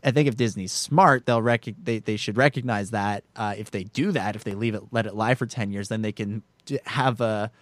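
A man's voice: on the low side, moderate pace, hardly any pauses, no echo. A man co-hosting a casual film discussion, hesitantly repeating and rephrasing his words as he thinks aloud. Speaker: podcast co-host.

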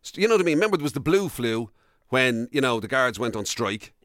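A man's voice: medium pitch, big pitch swings, fast talker, a bit bright, dry recording, studio quality. An Irish radio co-host, a man, doing casual banter with teasing, inquisitive questions. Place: radio studio. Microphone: close studio microphone.

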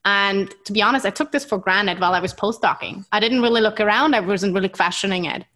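A woman with a German accent, a native German speaker, talking with excitable enthusiasm as a scientist guest in an interview. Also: medium pitch, fast talker. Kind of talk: interview conversation.

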